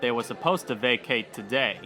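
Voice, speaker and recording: An actor playing a greedy, pushy Old West land grabber, insistent and demanding, in a radio western parody. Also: male voice, mid-range voice, moderate pace, pushed and bright, faint music behind, some chatter behind, close to an everyday voice.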